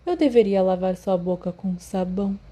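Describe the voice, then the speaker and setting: A woman's voice: mid-range voice, moderate pace, dark tone, lightly acted. A woman voicing a sneering teenage schoolboy wizard with a drawling, taunting manner, in a Brazilian audiobook.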